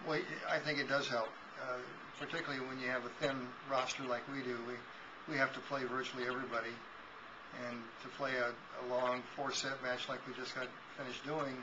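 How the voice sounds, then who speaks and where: Older man, volleyball coach, monotone, measured answers, dull lo-fi webcam audio, hiss. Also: medium pitch. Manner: measured, thoughtful, frequent restarts. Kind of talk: postgame interview. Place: small office indoors. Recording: dull lo-fi with noise.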